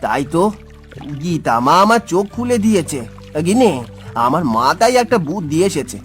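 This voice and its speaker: A man's voice: a little high, very animated, moderate pace, fairly bright tone, faint music behind. An actor playing a poor, kind-hearted farmer and father in a cartoon folk tale, speaking earnestly and dramatically. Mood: earnest, kindly.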